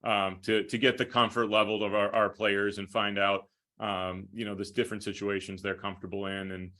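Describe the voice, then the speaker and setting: American man, bright forceful timbre, flat intonation, measured interview answers, sports broadcaster guest. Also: lowish voice. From Minnesota, United States. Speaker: podcast guest, hockey play-by-play broadcaster.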